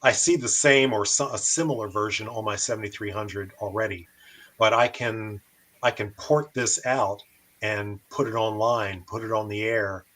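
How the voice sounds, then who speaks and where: A man hosting a show, giving a live explanatory demonstration in a methodical way with pauses. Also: on the low side, steady tone, fairly bright tone.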